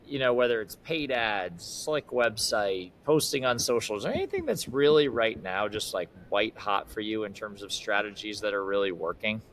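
A man's voice: on the low side, sing-song, moderate pace, hardly any pauses, a bit bright, a little hiss or hum, low-quality recording. A man hosting a business podcast, curious and friendly, asking long, winding questions with several parts. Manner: curious, asks long multi-part questions. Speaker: podcast host. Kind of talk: interviewing a guest.

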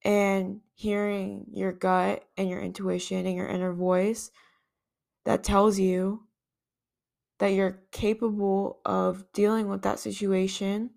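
A woman's voice: medium pitch, level tone, takes it slowly, gravelly, a little mellow. A woman hosting a podcast on her own, talking in an earnest, reflective way and thinking aloud with some restarts. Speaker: podcast host. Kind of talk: reflective solo podcast talk.

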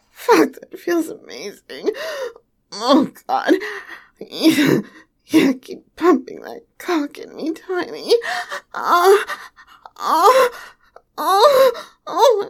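A tall, teasing young lover, played by a woman murmurs in a soft, breathy whisper. Her voice sits high, and she takes her time over every word. It is close and personal, spoken straight to the listener. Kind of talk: intimate audio roleplay to the listener.

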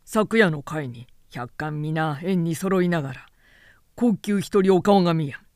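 A man's voice: fairly high pitch, animated, measured pace, a little mellow, smooth, no room sound, studio quality. A woman narrator voicing a menacing male warlord who questions and commands in mocking, lordly archaic speech in a storybook reading. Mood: probing. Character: menacing male warlord, sardonic, imperious interrogator.

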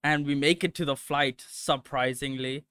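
A man's voice: medium pitch, steady tone, moderate pace, bright, forceful tone, smooth, dry recording. A young man from India in casual chat, swearing a lot, laughing often and telling loose stories.

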